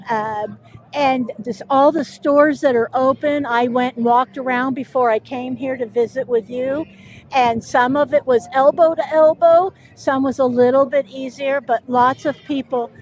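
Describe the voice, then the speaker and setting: Woman, cheerful and chatty, interview guest, outdoors, high voice. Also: fairly even intonation, unhurried, darkish tone, rough recording. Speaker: guest, committee chairperson. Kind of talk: live interview. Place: outdoors at a street festival.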